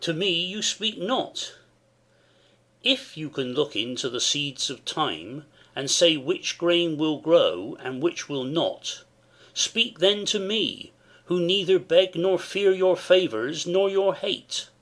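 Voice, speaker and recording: A man's voice: fairly high pitch, animated, slow pace, a bit bright, exaggerated voice. A man playing a noble, questioning soldier, declaiming in a dramatic Shakespeare reading. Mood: challenging.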